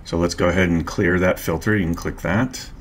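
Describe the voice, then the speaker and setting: A middle-aged man narrating a screen-share tutorial, calmly explaining each step and click as a marketing instructor. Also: sits low, a little fast, slight background noise. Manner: calm, explanatory, walks through clicks step by step.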